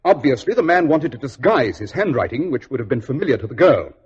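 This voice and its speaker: An actor playing a shrewd, stern gentleman detective in a precise, theatrical 1940s radio style. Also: mid-range voice, sing-song, fast. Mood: reflective. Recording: dull, husky old radio recording.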